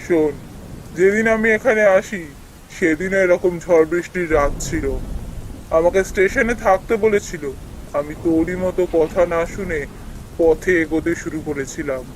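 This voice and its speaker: Male actor as protective, sorrowful ghost colleague, cartoon drama, slightly high male voice, cautioning brotherly manner, faint rain noise. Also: normal speed, close to an everyday voice, rough recording. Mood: solemn confession. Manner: familiar, elder-brotherly, cautioning.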